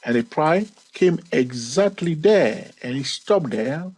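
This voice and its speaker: Man, trading lesson, husky tone, lively swinging pitch, drawn-out emphasis. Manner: stretches key words, repeats counts, laughs at own jokes. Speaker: trading educator and channel host. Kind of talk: screen-recorded chart lesson.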